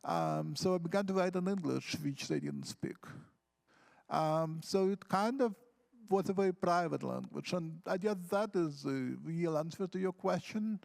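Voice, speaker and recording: Gravelly man, fairly high voice. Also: very animated, measured pace, a little mellow. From Ukraine. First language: Russian. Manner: earnest, explains with examples and questions to himself. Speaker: poet on a panel. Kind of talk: reflective talk on poetry craft. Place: conference hall stage.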